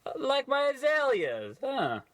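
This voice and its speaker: Man acting swooping, chirpy, gullible gentleman. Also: very high voice, huge pitch swings, measured pace, no echo, heavily acted. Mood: naive, pleased. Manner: chirpy, naive, old-timey politeness. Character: gullible, cheerful gentleman customer, eager and polite. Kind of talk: parody of old radio drama.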